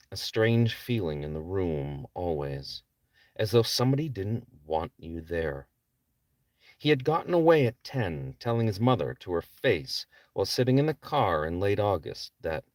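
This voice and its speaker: A man's voice: deep voice, no echo, clean studio sound. A man reading his own novel aloud as a scripted audiobook narration. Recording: distant microphone.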